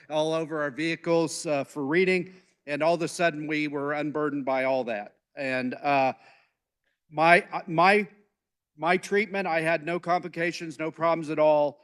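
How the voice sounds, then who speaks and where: A male state lawmaker addresses the chamber in debate. His voice is fairly high for a man, clean and resonant. He phrases things plainly, with some hesitation. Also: fairly bright tone, clear, resonant tone. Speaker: state legislator. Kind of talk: floor debate speech. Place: legislative chamber.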